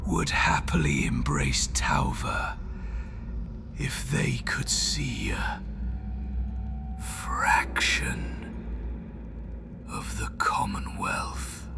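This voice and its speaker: A man playing a dying but defiant, idealistic traitor captive in a sci-fi audio drama, speaking in a halting, strained rasp. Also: on the low side, huge pitch swings, whispered, full character voice. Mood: fervent hope. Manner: halting, weak but fervent.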